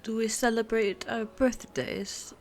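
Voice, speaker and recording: A woman playing an ageless, teasing vampire girlfriend in an intimate ASMR roleplay, musing and pausing with little hums. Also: fairly high pitch, steady tone. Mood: patient, explaining. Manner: unhurried, musing, pausing with hums before answering. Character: pure-blood vampire girlfriend, ageless, looks twenty-nine, teasing and worldly. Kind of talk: ASMR audio roleplay, intimate one-sided conversation. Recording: soft music and cafe ambience behind. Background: soft background music with cafe ambience.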